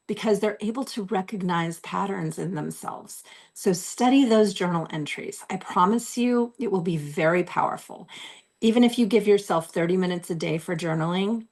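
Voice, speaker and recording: A woman in her forties with a slightly low, a little hoarse voice talks straight to camera from a room at home. She coaches in an earnest, encouraging way and repeats her key phrases for weight. It sounds like a webcam recording. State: losing her voice. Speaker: host. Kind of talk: motivational self-help talk to camera.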